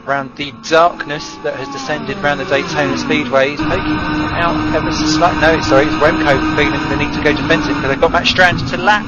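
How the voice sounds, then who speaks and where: Man, race commentator, jokey play-by-play, somewhat high voice, dull lo-fi sound, music behind. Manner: chatty, jokey, fast play-by-play. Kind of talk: sim racing live commentary. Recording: muffled stream audio with music behind.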